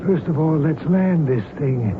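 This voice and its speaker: An actor playing a smug, coolly threatening hijacker cult reverend and former pilot in old-time radio drama style, formal and commanding. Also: male voice, a little high, expressive, a little slow. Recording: tinny, noisy old radio broadcast recording.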